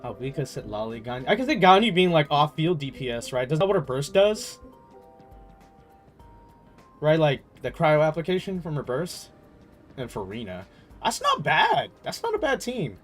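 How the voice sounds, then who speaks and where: A man with a slightly high voice talks casually as he streams a game. He thinks aloud in slangy, stop-start bursts and swears now and then. Game music plays faintly behind him. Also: huge pitch swings, normal speed, pauses often, fairly bright tone. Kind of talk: casual livestream chat, rambling gaming commentary.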